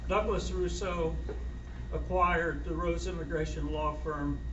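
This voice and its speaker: A man reading a formal introduction of two speakers in a measured way. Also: a little high. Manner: measured, reads from notes. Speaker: event introducer. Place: lecture hall. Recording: distant, echoey hall, dull sound, hum.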